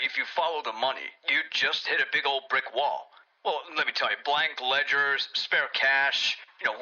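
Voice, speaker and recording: Exasperated bookkeeper, middle pitch, hesitant, plain-spoken; dull game tape. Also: male voice, bright, forceful tone. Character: baffled, uneasy, plain-spoken male company accountant. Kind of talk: audio log in a cartoon horror video game.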